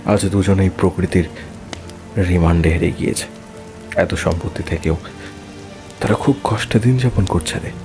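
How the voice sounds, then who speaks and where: Man acting a grieving, anguished elder brother. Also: low-pitched. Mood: sorrowful. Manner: emotional, pleading, halting repetitions. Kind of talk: Bengali horror audio drama acting. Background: music and rain.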